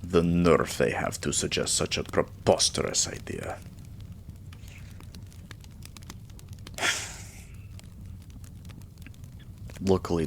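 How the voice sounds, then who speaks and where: A man playing a regal, possessive, doting vampire king in an intimate ASMR roleplay, with lordly, drawn-out phrasing and murmurs. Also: low-pitched, creaky, a little hiss or hum. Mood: weary, musing.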